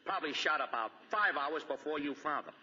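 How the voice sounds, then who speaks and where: A businesslike police lieutenant with a very sharp, forceful voice. He talks fast and clipped in a 1940s radio mystery, with muffled, reverberant sound. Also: a little high, lively intonation. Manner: matter-of-fact, clipped.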